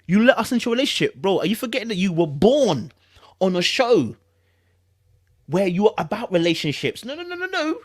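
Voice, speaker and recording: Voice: mid-range voice, very animated, brisk, no echo, studio quality. A podcast host with an urban London accent and slangy speech, commenting in an exasperated, incredulous way and repeating phrases for emphasis. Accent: British, urban London slang. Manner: informal, slangy, conversational. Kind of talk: animated commentary on a celebrity breakup statement.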